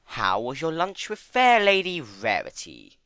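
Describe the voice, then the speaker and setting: A man plays a theatrical male spirit of chaos in a dramatic story reading. He is menacing and possessive, with bright, forceful emphasis. His pitch swings widely and the sound is dry and close. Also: wildly swooping pitch, a little slow, clear, resonant tone, no room sound, full character voice. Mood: grandly probing. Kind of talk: dramatic fan-fiction reading.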